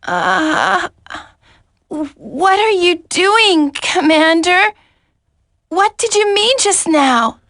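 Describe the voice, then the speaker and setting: An actress playing an anguished, defiant young special agent held captive in a video game. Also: female voice, very high-pitched, animated, very slow, a bit bright, no echo, studio quality, exaggerated voice. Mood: shocked. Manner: anguished, urgent, breaking.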